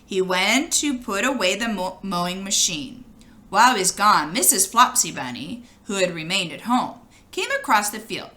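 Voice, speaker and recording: Animated, bright-voiced woman reading a storybook. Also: medium pitch, normal speed. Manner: animated, with brief asides to young listeners. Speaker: storybook reader. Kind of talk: expressive read-aloud of a children's storybook.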